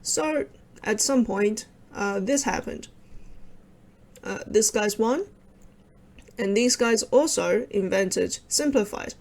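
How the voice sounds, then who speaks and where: A young woman, Cantonese her first language, talks through maps in a loose, rambling way, often breaking off into wry asides. Her voice sits in a middling register with plenty of gravelly vocal fry. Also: medium pitch, expressive, takes it slowly, pauses often, a little mellow. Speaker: video creator explaining with maps. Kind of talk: casual informal explainer over maps.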